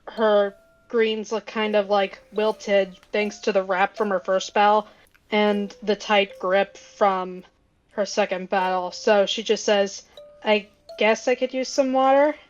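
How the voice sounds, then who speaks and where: Woman tabletop player, casual narration, medium pitch, lo-fi microphone sound. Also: fairly even intonation, slow. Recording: dull lo-fi microphone.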